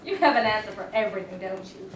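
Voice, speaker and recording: A woman's voice: medium pitch, expressive, quick pace, recorded from a distance, lightly acted. An actress in a staged reading playing a blunt, confrontational young woman activist. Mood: defiant. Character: angry young woman activist, police brutality victim. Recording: echoey room, voice from afar, faint hiss.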